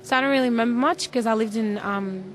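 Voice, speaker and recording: A woman in her twenties from Sudan introducing herself quickly and casually. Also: fairly high pitch, slight background noise. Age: 20s. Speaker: interviewee. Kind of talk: brief self-introduction.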